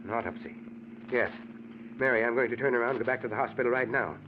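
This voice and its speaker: An actor playing a determined, stern middle-aged doctor with an earnest, authoritative manner in an old-time radio mystery. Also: male voice, mid-range voice, fairly even intonation, fast talker, lightly acted. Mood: troubled, determined. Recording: tinny, noisy old radio recording.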